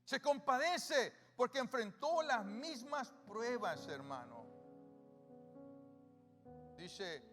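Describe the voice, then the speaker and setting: High-voiced Salvadoran pastor preaching. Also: male voice, very animated, takes it slowly, pauses often, a bit bright. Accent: Salvadoran Spanish. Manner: call-and-response questions to the congregation. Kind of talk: sermon. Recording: reverberant church hall with music.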